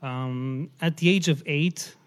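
A middle-aged man, born in Romania, giving a personal testimony in church, halting and self-deprecating with many fillers. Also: fairly high pitch.

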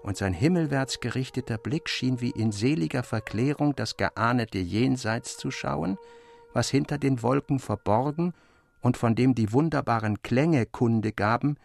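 A man narrating a literary tale from a script in a measured, formal way. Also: mid-range voice, creaky, a little mellow. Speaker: audiobook narrator.

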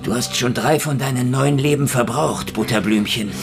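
An actor playing a tough, middle-aged detective in a noir radio drama, sardonic, taunting and brusque. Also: male voice, mid-range voice, normal speed, vocal fry, lightly acted. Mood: taunting, threatening. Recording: music under the voice.

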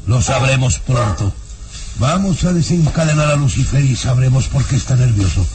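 An actor playing a suspicious, commanding aristocratic baron in a radio drama. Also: male voice, medium pitch, lively intonation, normal speed, noisy background, lightly acted. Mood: suspicious, urging. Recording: radio drama over loud music.

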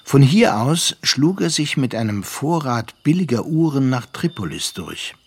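A man narrating a literary radio play in a measured storytelling manner. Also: medium pitch, sing-song, darkish tone, slightly rough.

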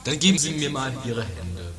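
A man's voice: mid-range voice, expressive, normal speed, reverberant, crowd noise, natural voice. A man playing a stern, officious guard in an amateur comic radio play. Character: stern, officious male guard making arrests.

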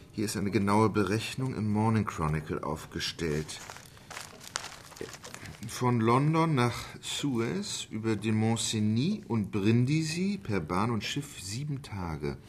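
A low male voice with lively inflection, an unflappable English gentleman in a radio drama. He speaks formally and precisely, measured and matter-of-fact. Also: a little hiss or hum. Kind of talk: German radio play acting.

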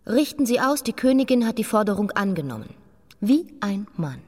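A woman's voice: mid-range voice, big pitch swings, normal speed, over loud music, lightly acted. An actress playing a defiant, sardonic young queen in a radio drama, blunt and challenging. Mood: disgusted, proud.

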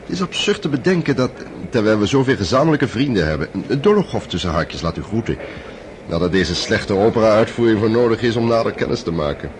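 A man's voice: on the low side, lively intonation, fairly quick, noisy background, close to an everyday voice. An actor playing a suave young nobleman. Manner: suave, smooth-talking. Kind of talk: radio play acting.

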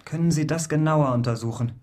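An actor playing a brisk, probing police investigator with a formal, terse manner in a historical radio crime drama. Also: male voice, on the high side, a little mellow, close to an everyday voice.

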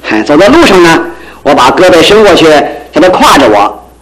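A man acting in a Chinese radio drama as an excitable, lovestruck, boastful office clerk, with an animated, exclamatory delivery. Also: on the high side, a little fast, low-quality recording. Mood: bashful, chatty.

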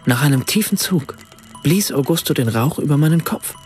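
An actor as an observant writer, narrating in the first person for a radio play with precise, understated literary phrasing. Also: male voice, fairly high pitch, animated, moderate pace, darkish tone, soft background music, close to an everyday voice. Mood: composed, observant. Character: observant German writer, husband and father, narrating a visit.

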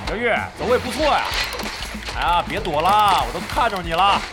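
A man playing a brash, foul-mouthed veteran captain of a gaming team in an audio drama, blustering from mutters to shouts. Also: sits high, lively intonation, moderate pace, music in the background. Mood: cocky. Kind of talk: Chinese radio drama acting.

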